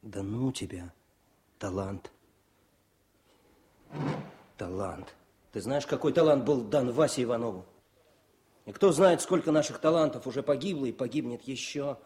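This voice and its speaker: An actor playing an eager, warm young army journalist in a Soviet radio drama, with music behind him. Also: male voice, mid-range voice, expressive, measured pace, long pauses, a little hiss or hum, natural voice. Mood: musing, stubborn.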